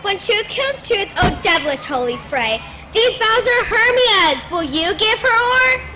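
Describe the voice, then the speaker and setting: A woman's voice: extremely high pitch, very animated, measured pace, heavily acted, low-quality recording. A woman acting in a Shakespearean stage scene with a declamatory delivery. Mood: indignant.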